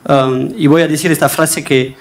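A young man gives a panel answer in a large wood-panelled chamber, his voice somewhat high. He hesitates constantly, stacking fillers and repeating words, close to a desk microphone. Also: steady tone, moderate pace. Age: young adult.

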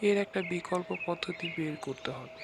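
A man's voice: on the high side, normal speed, very noisy, natural voice. A man playing a worried, humble village husband in a cartoon, earnest and pleading. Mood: anxious, persuading. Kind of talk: Bengali cartoon character voice acting.

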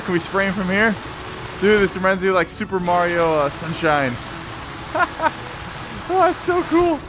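A young man with a high voice hosts a gaming video in a casual, excitable way. He talks along as he plays and breaks into playful exclamations, with game music clearly audible behind him. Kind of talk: casual excited gameplay commentary.

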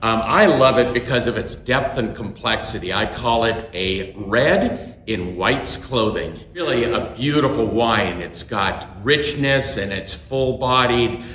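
A man's voice: medium pitch, expressive, measured pace, fairly bright tone, a little hiss or hum. A middle-aged man from Washington state, a winery co-owner, speaking in a friendly, upbeat way with some filler sounds. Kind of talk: promotional to-camera talk. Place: tasting room at a wooden bar. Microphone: camera a few feet away. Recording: roomy indoor echo.

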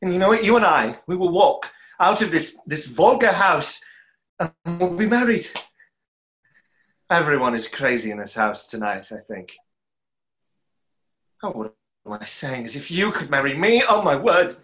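Tormented young conjurer for a stage play, played by a man: highish, forceful, emphatic, bitter and lovestruck. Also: very animated, normal speed, long pauses. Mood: fervent, agitated. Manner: theatrical, emphatic delivery. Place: small room at home, video call.

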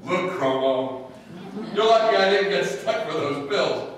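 A man's voice: sits high, lively intonation, a little slow. A man playing an exasperated, wry husband in an old-time radio comedy performed on stage. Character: exasperated, dryly wry husband narrating his wife's schemes. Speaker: actor in a staged radio play. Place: stage in a hall before an audience. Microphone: far camera. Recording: echoey hall, recorded far off.

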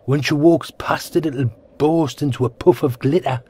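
A man voices a lovesick young worm monster in an audio comedy, with a northern English accent. The voice is soft-edged, dark and husky. He gushes and dithers, dim and sheepish. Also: fairly high pitch, normal speed. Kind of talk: comedy audio drama acting.

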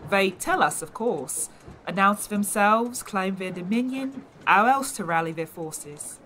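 A woman's voice: medium pitch, lively intonation, a little slow, a bit bright, a little hiss or hum. An actress playing a devout, skeptical older mother with folksy, rustic phrasing in a fantasy audio drama. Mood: matter-of-fact.

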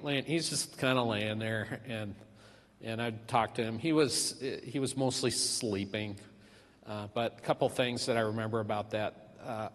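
A middle-aged man giving a eulogy for his father at a church podium, speaking haltingly with many fillers and restarts. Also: medium pitch. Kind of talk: memorial eulogy. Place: large church sanctuary. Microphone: podium microphone. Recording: reverberant church hall.

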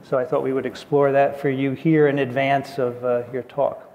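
A man hosting a live podcast interview, asking questions in a calm, friendly way. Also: mid-range voice, normal speed, muffled recording. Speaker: podcast host. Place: conference stage before an audience. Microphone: lapel mic. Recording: long hall reverb, faint noise.

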